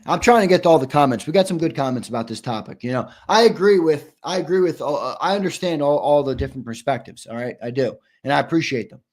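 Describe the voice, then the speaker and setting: Man, sports talk host, slightly high voice, hoarse, argumentative, repeats points for emphasis. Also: fast, hardly any pauses. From United States. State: losing his voice, hoarse. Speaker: sports show host reading viewer comments. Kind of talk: live-stream sports talk.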